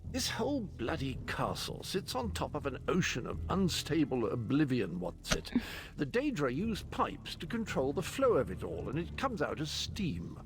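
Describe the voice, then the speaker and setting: An actor playing an eccentric, cheerful old British gentleman knight in a video game, with jaunty, pompous phrasing. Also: on the high side, huge pitch swings, moderate pace, gravelly, heavily acted. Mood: jaunty, eager. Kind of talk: video game character acting. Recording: music behind the voice.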